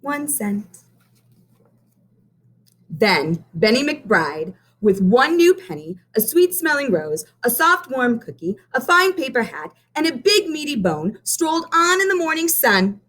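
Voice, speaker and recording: A woman teacher reading a picture book aloud to children, stopping to count coins with them. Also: sits high. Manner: slow and expressive, pausing to count coins. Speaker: primary math teacher. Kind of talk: children's read-aloud lesson.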